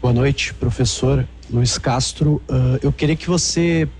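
A man's voice: medium pitch, slow pace, a little mellow. A man with a Brazilian accent asking a question as a sports reporter at a press conference.

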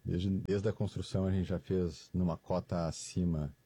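A man from Brazil, a company guest, answering in halting speech full of fillers. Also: low voice, steady tone, warm tone, husky, no echo. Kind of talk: interview answers.

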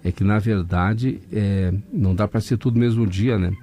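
A hoarse man with a southern Brazilian accent hosts a late-night radio show, his low, gravelly voice mellow and unhurried. He reads listener messages in a chatty, folksy way. Faint music plays underneath the broadcast. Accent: southern Brazilian, Rio Grande do Sul. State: hoarse from laryngitis and sinusitis. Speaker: overnight radio host. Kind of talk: casual radio chat reading listener messages. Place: radio studio.

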